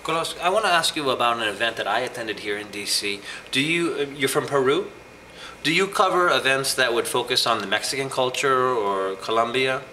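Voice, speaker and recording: A man interviewing a guest, with a bright, crisp tone. He asks long, pointed questions and builds each one up to a challenge. A faint hiss runs underneath. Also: mid-range voice, fairly quick, poor audio.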